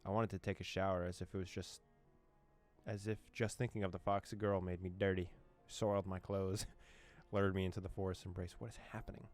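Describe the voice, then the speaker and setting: Deep-voiced young man reading a game, joking. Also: little pitch movement, fairly quick, darkish tone, faint music behind. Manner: playful, joking, laughs often. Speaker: gaming YouTuber. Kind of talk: reading a visual novel aloud with commentary.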